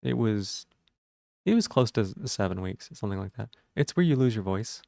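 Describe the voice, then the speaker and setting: A man with a gravelly voice and a sore throat, rambling casually to viewers on a livestream while checking things aloud. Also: on the low side, very animated, moderate pace, dark tone, no room sound, studio quality.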